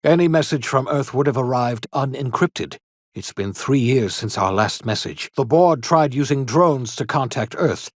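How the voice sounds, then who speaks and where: An actor playing an eccentric elderly scientist and rebel in a video game, earnest and theatrical, with formal, old-fashioned, emphatic phrasing. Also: male voice, medium pitch, expressive, normal speed, no echo, clean studio sound, natural voice. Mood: grave, worried. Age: older. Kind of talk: video game character dialogue.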